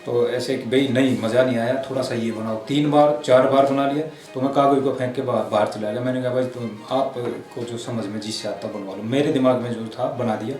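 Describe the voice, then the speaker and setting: A middle-aged man, telling anecdotes as an interview guest in a colloquial, halting way. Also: mid-range voice, fairly even intonation, a little mellow. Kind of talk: casual anecdotal interview talk. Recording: roomy echo, music underneath.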